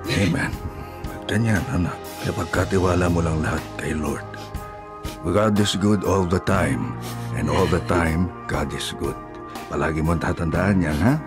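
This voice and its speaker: An actor playing a gentle, devout older father who soothes and advises his grown daughter in a radio drama. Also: low-pitched, a little slow. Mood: gentle, consoling. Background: music.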